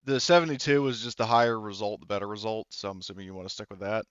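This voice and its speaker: A man running a tabletop role-playing game as game master, casual and halting as he thinks aloud. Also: on the low side, steady tone, clean tone, no echo. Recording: dull, lo-fi sound.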